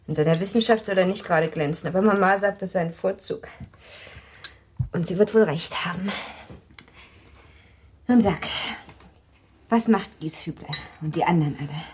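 An actress playing a young wife who talks lightly and evasively in a conversational German radio drama. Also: female voice, fairly low pitch, moderate pace, long pauses, a little mellow, slight background noise, close to an everyday voice. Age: young adult. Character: young wife lightly brushing off her illness.

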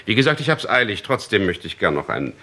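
An actor playing an insistent police chief inspector in a radio crime drama, speaking in a dry, probing, formally polite way. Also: male voice, on the low side, sing-song, fast, bright, forceful tone, exaggerated voice. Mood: brisk, insistent.

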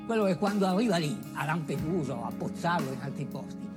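Impassioned, expressive woman over music. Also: low-pitched. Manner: emphatic, deliberate, repeating phrases for effect. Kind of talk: impassioned theatrical monologue.

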